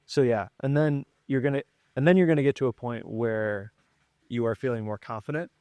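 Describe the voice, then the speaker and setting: A man hosting a podcast, giving relaxed, rambling advice in casual conversation. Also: medium pitch, a little fast, no echo. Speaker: podcast host.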